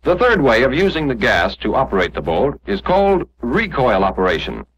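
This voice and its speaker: American 1940s training film narrator, muffled soundtrack. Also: male voice, medium pitch, huge pitch swings, fairly quick, fairly bright tone, slight background noise.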